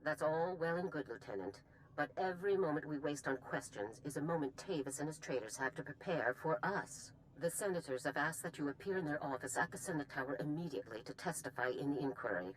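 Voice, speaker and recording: A woman's voice: low-pitched, lively intonation, a little fast, slight background noise, poor audio. An actress playing a stern, calculating female general in a video game, clipped and authoritative. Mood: stern, exasperated. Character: stern, calculating older female military general, politics-weary.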